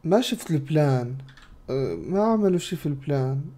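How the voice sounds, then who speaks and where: A man's voice: on the high side, lively intonation, brisk, warm tone. A young adult man with a Levantine Arabic accent, casually narrating and commenting on a game as he streams it. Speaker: gaming streamer. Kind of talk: casual game playthrough commentary, retelling dialogue. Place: indoors at a computer.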